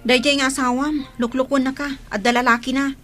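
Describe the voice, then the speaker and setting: An actress playing a sharp-tongued, agitated woman with a Filipino Ilocano accent in an emotional radio drama. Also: sits high, steady tone, fairly quick, over music, close to an everyday voice. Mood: insistent.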